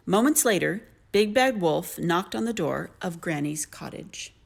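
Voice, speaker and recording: Female children's storyteller: lower-pitched, expressive, highly varied intonation. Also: unhurried, gravelly.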